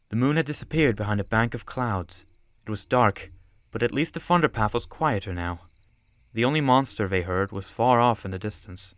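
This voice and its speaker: A man's voice: on the low side, fairly bright tone. A man narrating an audiobook story from a script.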